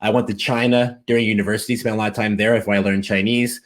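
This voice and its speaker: A man's voice: fairly low pitch, fast. A man being interviewed as a guest, telling stories in a casual, enthusiastic way. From United States. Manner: animated, enthusiastic storyteller. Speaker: interview guest, language coach.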